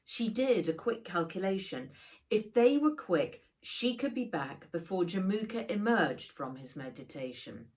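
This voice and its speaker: A woman narrates a children's audiobook. She takes her time over each phrase, and her pitch moves in a lively, expressive way. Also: unhurried. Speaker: author reading own book.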